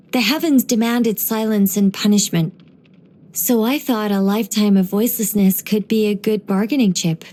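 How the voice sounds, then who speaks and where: A woman voicing a gentle, wise young angelic woman in game voice lines, soft and wryly musing. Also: medium pitch, lightly acted.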